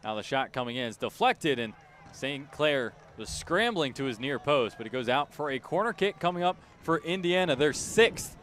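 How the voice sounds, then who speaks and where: A man's voice: medium pitch, expressive, moderate pace, fairly bright tone, slight background noise. A man doing live radio play-by-play of a soccer match, describing each touch in clipped, urgent, emphatic phrases. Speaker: play-by-play announcer.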